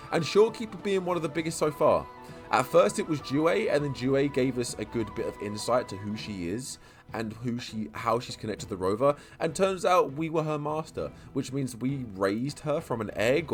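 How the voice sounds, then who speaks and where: A man with a low voice talks casually through his theories for a video, tripping over words and stuttering as he rambles along quickly. He restarts phrases and repeats himself as thoughts pile up. Faint music plays underneath. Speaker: YouTube lore creator. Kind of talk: casual game-lore vlog commentary.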